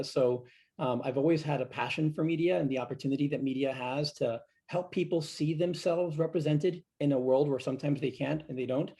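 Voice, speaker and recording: Cuban-born man with a gravelly voice. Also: mid-range voice, warm tone. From Cuba. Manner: reflective, measured, with frequent fillers. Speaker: guest speaker. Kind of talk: conversational storytelling in a fireside chat. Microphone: video call.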